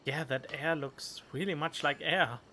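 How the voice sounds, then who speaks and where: A man's voice: fairly high pitch, a little slow, bright, forceful tone, a little hiss or hum. A man giving casual playthrough commentary with dry, joking asides. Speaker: gaming streamer. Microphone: studio condenser microphone. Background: game music.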